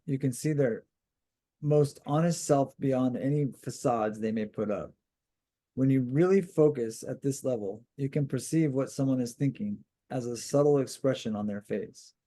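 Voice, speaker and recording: Man reading as a mellow, insistent woman guide. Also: mid-range voice, normal speed, close to an everyday voice. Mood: calmly instructive. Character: calm, insistent woman guide explaining intuition.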